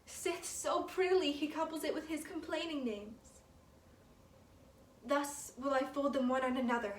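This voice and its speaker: A woman's voice: high voice, steady tone, a little slow. Woman acting a shakespeare lovesick young noble lady, passionate n dramatic, roomy echo, bit of noise. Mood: tender, rueful. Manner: dramatic, emphatic, lingering on words. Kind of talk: theatrical Shakespearean stage monologue. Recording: roomy, distant mic, faint background noise.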